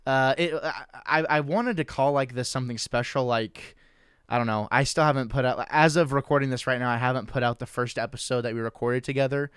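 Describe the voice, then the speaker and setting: A young man hosts a casual podcast in a relaxed, joking way, often starting his sentences over. His voice is smooth and clear at a middle pitch. The sound is close and dry. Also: a little fast, no room sound. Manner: loose and joking, with many restarts. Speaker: podcast host.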